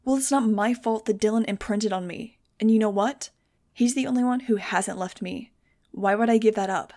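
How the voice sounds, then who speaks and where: A woman's voice: medium pitch, moderate pace, dark tone, close to an everyday voice. A woman voicing an angry, blunt teenage girl with wings, snapping back in heated retorts. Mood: indignant.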